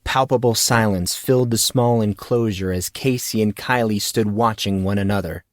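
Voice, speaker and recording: Low-voiced man narrating a story, dry recording. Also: normal speed, dry recording. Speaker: audiobook narrator. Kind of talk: scripted fantasy story narration.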